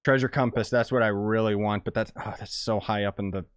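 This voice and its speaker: A man's voice: lowish voice, fairly even intonation, brisk, clear, resonant tone. A man giving casual, blunt and slangy live commentary as he streams a video game. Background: game music.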